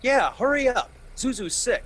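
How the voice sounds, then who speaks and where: A man's voice: very high-pitched, animated, normal speed, fairly bright tone, heavily acted, rough recording. An actor playing a desperate, agitated family man in a pleading, old-time radio drama style. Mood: frantic, impatient.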